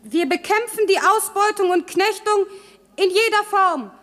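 Young maid giving a fiery rally speech, really high raised voice, big echoing hall, radio play. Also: female voice, animated, measured pace, a bit bright, heavily acted. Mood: fervent. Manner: fervent, proclaiming. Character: young maidservant rousing a public workers' meeting. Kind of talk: declamatory political speech in radio drama. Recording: reverberant large meeting hall.

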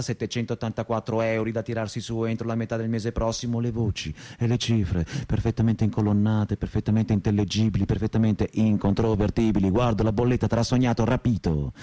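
Male actor as bitter, broke man, dark low voice, dry sound, lyrical radio drama narration. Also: no room sound, natural voice. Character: weary, broke, bitter night worker, poetic narrator. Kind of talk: theatrical radio drama monologue.

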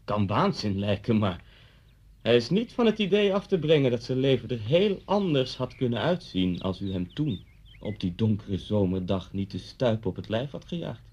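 A man's voice: mid-range voice, very animated, moderate pace, a little mellow, husky. An actor in a Dutch radio play, playing a talkative, coolly unsettling policeman who speaks politely and insinuatingly. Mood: grave, insinuating. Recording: dull, muffled old radio recording.

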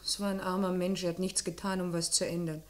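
A woman's voice: on the low side, little pitch movement, fast talker, natural voice. An actress playing a bitter, defiant young woman under questioning, terse and sullen, in a German radio crime drama.

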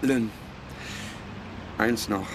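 An actor playing a brisk, dismissive hotel co-worker who speaks to the maid in short, offhand lines. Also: male voice, fairly low pitch. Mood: curt. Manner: curt, offhand. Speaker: colleague of the maid. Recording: noisy background ambience.

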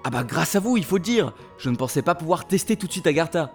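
An actor playing an enthusiastic, flattering host of a video game radio show in an audio drama. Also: male voice, a little high, fast, faint music behind. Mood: chatty.

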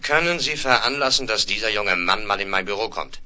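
A man playing an authoritative, genial state marshal in a science fiction radio play, speaking in a measured, courteous way. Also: fairly low pitch, lively intonation, sharp tone. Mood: measured, official. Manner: measured, courteous, jovially commanding. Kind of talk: 1970s German radio play acting.

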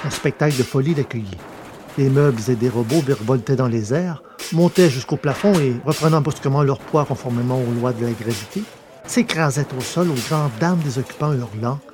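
A man's voice: mid-range voice, noisy background. A man reading a scripted science-fiction story as its narrator.

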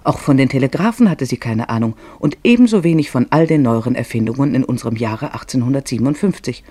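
Guy narrating a literary radio play, mellow husky voice, dry close sound. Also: medium pitch, expressive, fast, dry recording.

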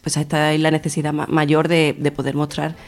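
A woman's voice: deep voice, monotone, fairly quick. A young adult woman answering as an interview guest in spontaneous, somewhat hesitant phrases, searching for words. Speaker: interview guest, feminist activist.

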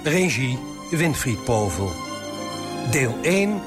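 A man's voice: medium pitch, sing-song, slow. A man announcing the opening credits of a radio drama in a dramatic, scripted style. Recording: loud music under announcement. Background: loud music.

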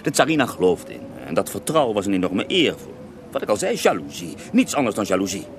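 Radio play casting: self-justifying holy man, pious and aggrieved, low and animated, over clear background noise. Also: fast. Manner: devout, wounded, self-justifying. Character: pious, self-righteous Russian mystic healer, a grown man. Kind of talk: radio drama acting, mock interview.

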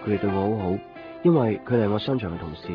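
A man's voice: on the low side, racing, lightly acted. An actor playing a stubborn, lovelorn young man in a radio drama, speaking with a Hong Kong Cantonese accent. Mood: melancholy narration. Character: lovelorn, stubborn young man waiting, guarding his coins. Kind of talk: radio drama acting with first-person narration. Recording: muffled 1990s radio drama recording, music.

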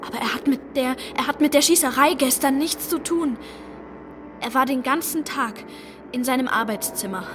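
A woman's voice: normal speed, music in the background, close to an everyday voice. An actress playing a desperate young woman in a German radio drama, urgent and agitated. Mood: urgent pleading. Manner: urgent, agitated, high-strung. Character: desperate young Czech woman pleading for her father.

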